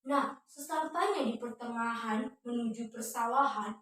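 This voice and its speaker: A woman's voice: a little high, normal speed, slight background noise. A young adult woman telling a children's story expressively, often speaking straight to her listeners. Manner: expressive, often addresses the audience as friends. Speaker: student storyteller. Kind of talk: children's storytelling addressed to viewers. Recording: echoey room, distant microphone.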